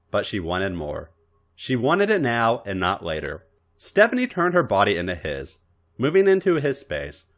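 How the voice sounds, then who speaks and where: A man's voice: lowish voice, fairly bright tone. A man narrating erotic fan fiction for a podcast in a theatrical, dramatic style. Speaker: podcast story reader.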